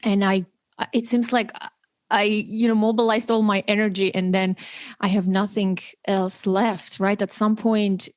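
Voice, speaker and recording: A woman's voice: medium pitch, flat delivery, unhurried, no echo, studio quality. Earnest woman in her forties, from Armenia. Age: 40s. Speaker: podcast host. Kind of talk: podcast interview.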